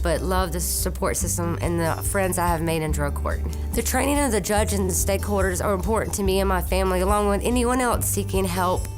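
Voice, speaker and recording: A woman speaking earnestly about her recovery as a drug court participant, with an occasional hesitant um. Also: mid-range voice. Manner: earnest, a few hesitant ums. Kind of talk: testimonial statement.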